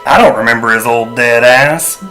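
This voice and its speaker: A man's voice: mid-range voice, moderate pace, sharp tone, faint music behind, lightly acted, low-quality recording. A man playing a crude, whiny young brother in a comic podcast sketch, mocking and sneering. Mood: whining.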